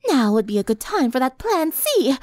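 A woman's voice: high-pitched, very animated, normal speed, darkish tone, no room sound, studio quality, voice pushed up. A woman voicing a refined, dramatic young lady, composed and a little weary, in a story.

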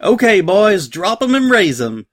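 A man voicing a prickly, rough-and-tumble female pony pirate with a rural American twang, brash and blunt, in an audio story. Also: sits high, very animated, measured pace, no echo, clean studio sound, voice pushed up, heavily acted. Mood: commanding.

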